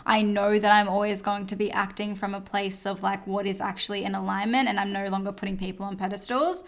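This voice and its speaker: A woman's voice: medium pitch, flat delivery, brisk. A woman co-hosting a podcast, talking earnestly in casual chat and repeating words for emphasis. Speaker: podcast co-host.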